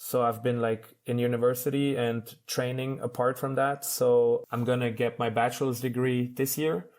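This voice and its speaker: Thirty-year-old man chatting casually as a guest. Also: mid-range voice. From Switzerland. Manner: rambling, conversational, informal. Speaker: podcast guest, competitive jiu-jitsu athlete. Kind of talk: casual interview chat.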